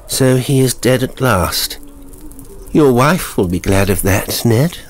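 A man's voice: mid-range voice, animated, slow, pitched above the performer's own voice. A man voicing a sardonic, debauched nobleman with a dry, mocking manner in a horror story. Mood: curious, taunting. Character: sardonic debauched nobleman, clearer-witted drinking companion.

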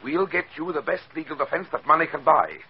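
Scheming radio villain, commanding, animated pitch, muffled 1940s recording. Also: male voice, a little fast, vocal fry, slight background noise. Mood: falsely earnest. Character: smooth scheming male villain feigning concern as a friend.

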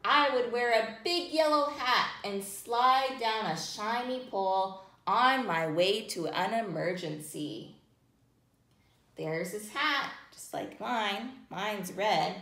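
A woman in her thirties hosting a children's storytime, reading aloud in simple, deliberate phrases and putting questions to young listeners. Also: mid-range voice, animated, fairly bright tone. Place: small room indoors, near a plain wall. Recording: roomy indoor echo.

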